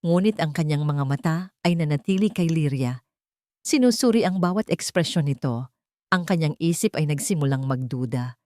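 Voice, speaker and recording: A woman's voice: on the low side, warm tone, no room sound, clean studio sound. A woman reading a scripted, dramatic story as an audiobook narrator.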